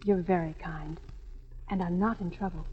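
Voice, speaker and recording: A woman's voice: on the low side, fairly even intonation, normal speed, a little hiss or hum, close to an everyday voice. Woman playing a gracious young opera singer, muffled. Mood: polite, light. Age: young adult. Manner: polite, light, gracious. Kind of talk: 1940s radio drama acting. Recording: dull old 1940s radio broadcast.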